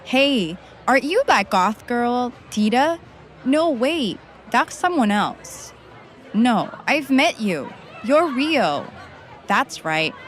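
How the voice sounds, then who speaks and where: A woman's voice: on the high side, sing-song, takes it slowly, some chatter behind, slight background noise. A woman playing a cool, casual young goth in a comic audio drama. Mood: excited recognition.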